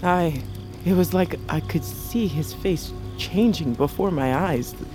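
A man's voice: on the high side, measured pace, over music, lightly acted. A man playing a haunted, sensitive but brave young fugitive in a fantasy audio drama. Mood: haunted, brooding.

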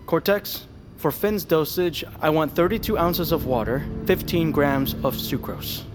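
Male researcher played by an actor, terse, commanding, lightish pitch, faint music. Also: measured pace, natural voice. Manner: curt, commanding. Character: curt, secretive male drug researcher. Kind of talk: audio drama acting.

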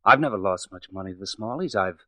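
An actor playing a protective, earnest young boyfriend in a theatrical 1940s radio drama style. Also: male voice, sits low, animated, fast talker, bright, forceful tone, no room sound, full character voice. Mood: concerned, protective.

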